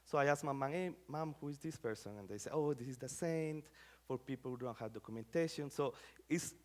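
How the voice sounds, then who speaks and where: A man's voice: medium pitch, fairly quick, darkish tone. Man giving a casual lecture. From Costa Rica. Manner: conversational, many fillers, non-native phrasing. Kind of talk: academic public talk.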